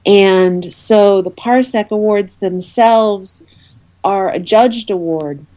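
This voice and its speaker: A woman's voice: medium pitch, slow, warm tone. A woman speaking as an interview guest, explaining things earnestly in a conversational way. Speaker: interview guest, awards committee representative.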